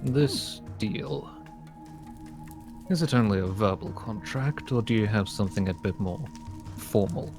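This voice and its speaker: A man playing a dry, teasing young adventurer in a Minecraft roleplay, with casual deadpan delivery. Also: on the low side, measured pace, pauses often, over music, natural voice.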